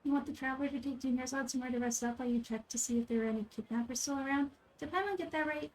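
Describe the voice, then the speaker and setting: A woman's voice: high-pitched, racing, low-quality recording. A woman voicing a small, childlike, chirpy fairy companion in a video game. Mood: eager. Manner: chirpy, third-person speech.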